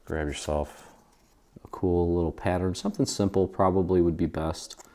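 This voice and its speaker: A man's voice: low voice, fairly even intonation, moderate pace, warm tone. A man in his forties with an American accent, casually hosting a step-by-step craft tutorial in an informal, easygoing way. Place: indoor craft studio.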